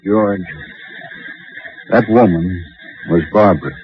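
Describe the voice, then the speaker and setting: Serious doctor explaining things carefully, lowish voice, dull old radio sound w a bit of hiss. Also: male voice. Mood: grave, insistent. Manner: deliberate, explanatory. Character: grave, measured male expedition doctor. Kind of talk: radio drama dialogue. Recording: dull lo-fi radio recording, faint noise.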